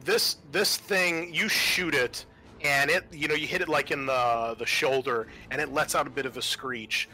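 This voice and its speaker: A man speaking as game master of a tabletop roleplaying game, casual and halting as he thinks aloud. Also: fairly high pitch, sharp tone, rough recording.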